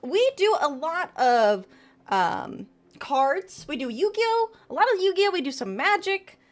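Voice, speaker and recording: High, lively woman streamer chatting. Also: a little slow. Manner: chatty, answers chat aloud, laughs often, trails off and restarts. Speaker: VTuber streamer. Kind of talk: casual live-stream chat with tarot readings.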